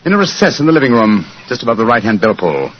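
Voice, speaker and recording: An actor playing an assured, courteous gentleman detective with a precise manner, in 1940s radio drama style. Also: mid-range voice, very animated, fast talker, slight background noise, lightly acted. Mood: assured, explaining. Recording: dull vintage radio recording.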